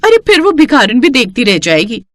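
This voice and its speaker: Woman voicing a high-pitched haughty rich young bride. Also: very animated, fairly quick, fairly bright tone, slight background noise. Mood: boastful. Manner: gloating, showy, taunting. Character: haughty rich young daughter-in-law, boastful and mocking.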